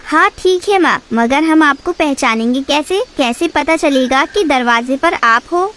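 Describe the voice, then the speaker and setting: A woman plays a little childlike bird in a kids' cartoon. The voice is very high. It sounds earnest and excitable, like a story character for small children. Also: unhurried. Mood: eager.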